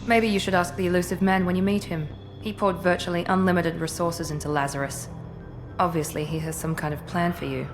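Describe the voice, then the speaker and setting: A cold, self-assured woman officer, voiced by an actress in a sci-fi video game, her voice a little lower than most women's. She talks in dry, clipped lines with a faint condescension. A musical score plays under the game audio. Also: steady tone, a little fast, over music, natural voice. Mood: cool. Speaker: Cerberus project director.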